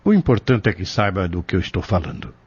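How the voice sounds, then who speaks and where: Wise Brazilian adviser, deep, animated yet measured, sure of himself, dull radio-play audio. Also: male voice, husky, no echo, heavily acted. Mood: firm, assured. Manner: firm, measured, didactic. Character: wise, assured pharmacist and spiritual adviser. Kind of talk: Brazilian radio drama acting.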